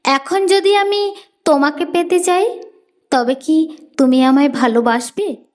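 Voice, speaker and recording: Woman as proud scornful fable peacock, high voice, Bengali accent, storybook reading. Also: slow pace. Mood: proud, questioning.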